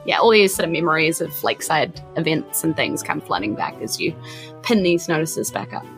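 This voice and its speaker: A woman's voice: low voice, very animated, brisk, faint music behind. A woman narrating a tabletop game as game master, in a conversational, descriptive way.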